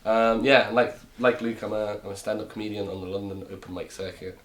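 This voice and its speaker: A man's voice: on the low side, little pitch movement, fairly quick. A man in his twenties from Romania, a comedian guest chatting casually and joking in a rambling way. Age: 20s. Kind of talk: casual chat.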